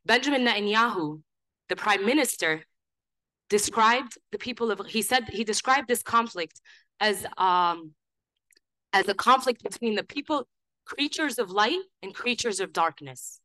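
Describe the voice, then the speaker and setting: Loud, impassioned young woman addressing a class. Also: medium pitch, expressive, measured pace, low-quality recording. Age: young adult. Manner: impassioned, insistent, with frequent restarts. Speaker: student activist guest speaker. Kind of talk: impromptu talk to a class. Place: lecture hall.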